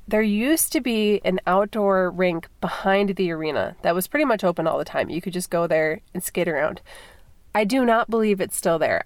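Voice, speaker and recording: A woman's voice: mid-range voice, no room sound. A woman from Minnesota in her thirties, stuffy with a cold, hosting a podcast in a rambling, chatty way with lots of fillers. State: congested from a cold. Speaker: podcast host. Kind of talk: casual chat.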